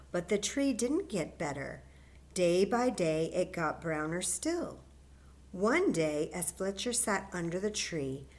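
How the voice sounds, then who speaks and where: A woman reading a children's story aloud as a library story time host, expressive and chatting to young listeners with coaxing questions. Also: lowish voice.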